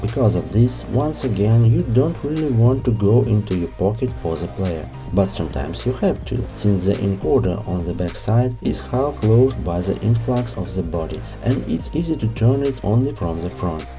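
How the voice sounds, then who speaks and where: A man's voice: fairly low pitch, expressive, normal speed, few pauses. A man narrating a scripted product review as the host, in formal, carefully worded delivery. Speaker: host of an audio gear review show. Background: music.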